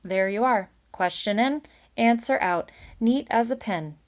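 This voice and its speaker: Brash storybook beaver boss female reader, raised pitch, hearty and emphatic, fast-talking huckster attitude without any doubt. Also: natural voice. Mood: breezily dismissive. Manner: breezy salesman patter, slangy, emphatic. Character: brash, booming, slick male beaver boss.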